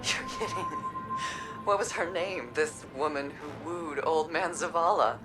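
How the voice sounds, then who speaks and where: A woman's voice: sits low, measured pace. An actress playing a battle-weary, resilient woman soldier in a video game, speaking plainly and reflectively with a wry edge. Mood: wry teasing. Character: battle-weary, resilient female soldier, tough but tender. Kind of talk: dramatic video game cutscene acting. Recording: music or faint ambient noise behind.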